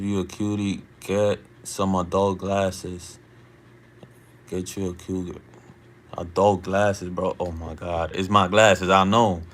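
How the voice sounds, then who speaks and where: Laid-back slangy man with a low voice. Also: fairly bright tone. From Dominican family, born in the US. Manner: laid-back, slangy, keeps asking "you feel me?". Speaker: live streamer reading chat. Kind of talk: casual late-night live stream chat.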